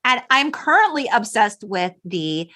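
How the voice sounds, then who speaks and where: Super animated lady podcast host, bright highish voice, gushes and hits words hard. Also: a little slow. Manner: effusive, emphatic reactions. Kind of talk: casual chat interview.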